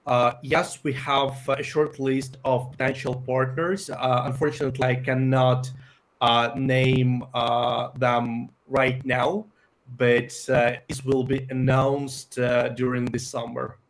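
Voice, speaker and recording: A man from Ukraine, a native Ukrainian speaker, answering interview questions about his company with many pauses and fillers. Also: medium pitch, level tone, slight background noise. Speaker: software company founder.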